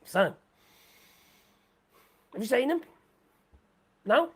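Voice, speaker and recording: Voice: medium pitch, sing-song, very slow, husky. A livestream host rambling through sarcastic commentary, saying the voice is going. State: losing voice.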